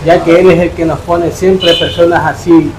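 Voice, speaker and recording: A young man playing a poor young worker who is soft-spoken and tearful, in a staged charity video. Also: fairly high pitch, little pitch movement, noisy background, natural voice. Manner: quiet, halting, emotional. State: crying. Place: indoor room. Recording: echoey room with music behind.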